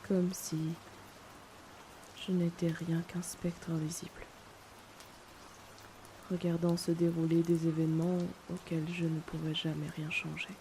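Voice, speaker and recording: Rain falls steadily behind a wistful young noblewoman played by a woman in an audio drama. She is haunted by her past and speaks slowly and hesitantly, in a hushed, fairly low, even voice. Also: slight background noise, low-quality recording. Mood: pensive, melancholic. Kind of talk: slow introspective audio drama monologue. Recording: steady loud rainfall behind the voice.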